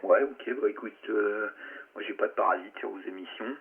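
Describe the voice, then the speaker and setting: A man's voice: on the low side, moderate pace. A man chatting casually as a radio operator, colloquial and salty, with muttered asides, over a narrowband transmission. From France. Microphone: radio transceiver microphone. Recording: narrowband ham radio, light noise.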